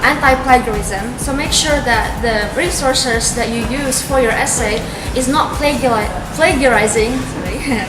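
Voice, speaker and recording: Woman explaining, roomy, loud music. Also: on the high side. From Indonesia. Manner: earnest, explaining point by point with many fillers. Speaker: co-chair of a youth organization. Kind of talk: advice talk to applicants.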